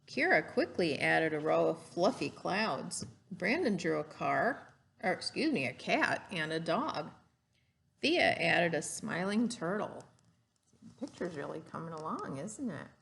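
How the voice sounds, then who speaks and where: A woman's voice: fairly low pitch, big pitch swings, measured pace, creaky, fairly bright tone, slight background noise. A woman reading a story aloud to children like a teacher, adding explanations and questions for the listener. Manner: didactic, asks the listener questions.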